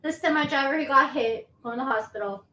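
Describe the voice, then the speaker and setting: A woman's voice: high-pitched, fast talker, pushed and bright. A woman hosting a commentary video, chatting and explaining events step by step. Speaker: commentary host. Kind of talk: casual commentary. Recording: roomy echo.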